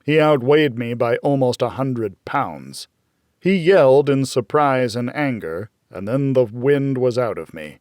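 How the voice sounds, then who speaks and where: A man reading first-person fiction as an audiobook narrator, in a measured, dramatic storytelling manner. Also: mid-range voice, expressive, a little mellow, no echo, clean studio sound.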